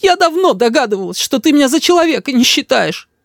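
An indignant young mother in a family storybook , played by a male narrator. She exclaims and accuses in a high, swooping voice, quick to take offence. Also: big pitch swings, creaky, no room sound, clean studio sound, full character voice. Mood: offended.

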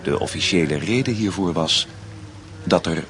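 Deep-voiced male radio play narrator. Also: lively intonation, over music. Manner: measured, formal.

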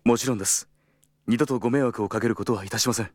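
A man's voice: mid-range voice, fast talker, a little mellow, no room sound, clean studio sound, close to an everyday voice. An actor playing an earnest, principled travel agency employee in a Japanese audio drama, formal and deferential with others and blunt in his own thoughts. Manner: formal and deferential aloud, blunt in inner monologue.

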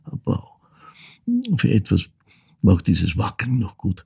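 An actor playing an elderly man in a radio drama monologue, recalling his dead mother in halting, hushed, reflective phrases with a husky, gravelly voice. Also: low voice, heavily acted. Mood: pained, subdued. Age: older.

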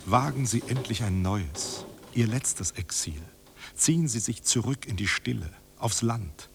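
A man's voice: lowish voice, expressive, measured pace, gravelly, background noise. A man in a German radio drama playing a refined, idealistic friend who pleads solemnly and formally, as if reading a letter aloud. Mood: earnest admonishing. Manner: solemn, imploring, rhetorical, formal.

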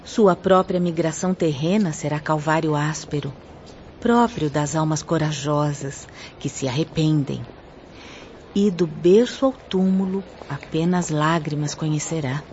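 A woman acting a serene, solemn spirit nun in a radio drama, with a Brazilian accent and measured, pious delivery. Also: low-pitched, a little mellow. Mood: solemn, prophetic. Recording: muffled, noisy lo-fi.

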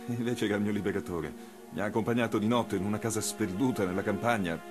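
A man's voice: fairly low pitch, steady tone, quick pace, lightly acted. A man playing a weary, defensive revolutionary on the run in a radio drama. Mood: subdued, recounting. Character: weary fugitive revolutionary, evasive and defensive lover. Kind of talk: theatrical radio drama dialogue. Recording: radio play with music underneath. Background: music.

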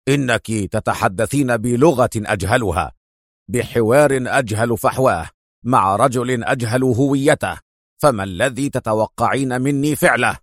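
A man's voice: mid-range voice, moderate pace, no room sound, clean studio sound, lightly acted. A man voicing a harsh, curt, corrupt police inspector in an audiobook. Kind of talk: audiobook character voice.

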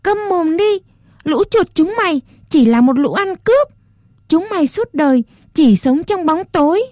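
Woman doing a high, tearful wooden doll boy. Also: normal speed, a little mellow, dry recording, studio quality, natural voice. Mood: defiant. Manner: pleading, sobbing, then shrill and defiant.

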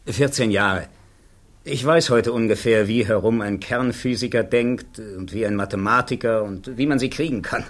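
An actor playing a blunt, confident army security officer who testifies in clipped, matter-of-fact answers in a radio play. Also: male voice, fairly low pitch, normal speed, close to an everyday voice. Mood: self-assured. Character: blunt, self-assured male army colonel, security officer.

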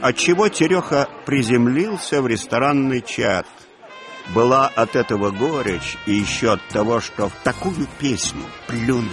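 A man narrating a literary audio play with expressive storytelling. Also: medium pitch, normal speed, music in the background.

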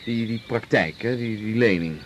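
An actor playing a wealthy, gentle farmer who coaxes and teases a woman in a radio drama. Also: normal speed, noisy background, close to an everyday voice. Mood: coaxing. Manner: low-key, coaxing, playful. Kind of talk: 1980s radio drama acting.

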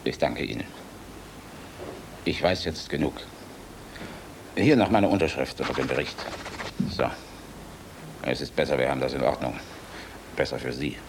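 An actor playing a weary, resolute air force general in a German radio drama, grave and clipped. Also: male voice, extremely low pitch, normal speed, gravelly, pauses often, background noise. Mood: calm, resigned.

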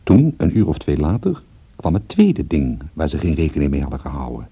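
A man's voice: rumbling low, wildly swooping pitch, brisk, vocal fry, husky, dry recording, highs cut off, heavily acted. An actor playing a wry private detective, narrating his own story drily and intimately in a radio drama. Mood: wry, suspenseful.

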